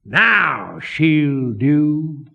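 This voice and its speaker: A man's voice: on the high side, very animated, extremely slow, gravelly, big-room sound, highs cut off, exaggerated voice. An American man voicing a plain-spoken, stubborn country deacon, folksy and emphatic, in a verse storytelling. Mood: satisfied. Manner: folksy, emphatic, self-assured.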